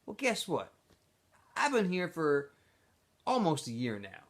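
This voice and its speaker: A man's voice: on the high side, huge pitch swings, a little slow, pitched above the performer's own voice, exaggerated voice. A man voicing a pushy teenage boy who leads his group, coaxing and insisting in a storybook read-aloud. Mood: wheedling, self-justifying. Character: pushy teenage boy, small self-assured group leader, wheedling.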